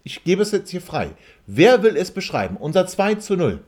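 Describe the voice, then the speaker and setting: A man's voice: high voice, sing-song, normal speed, darkish tone. A man hosting a casual football fan chat, teasing and repeating his phrases for emphasis. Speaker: podcast host.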